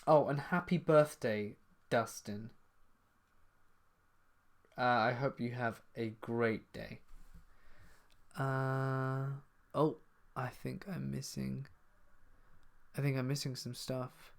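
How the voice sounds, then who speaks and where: A man's voice: medium pitch, fairly even intonation, slow pace, gravelly, pauses often. Casual southern English man reading chat. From south of England. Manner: casual, chatty, reads comments aloud then answers. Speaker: horror story channel host. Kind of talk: live stream Q&A, reading out chat.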